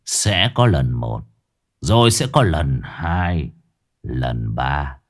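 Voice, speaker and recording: A man voicing a cold, ruthless middle-aged gang boss who speaks slowly and menacingly. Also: very deep voice, voice pushed down, heavily acted. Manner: slow, menacing, icy calm.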